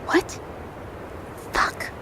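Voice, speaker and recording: A woman's voice: extremely slow, noisy background. A woman playing a tough, wounded fugitive. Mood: tense. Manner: tense, clipped, often whispering. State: in pain. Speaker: wounded survivor. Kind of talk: audio drama acting.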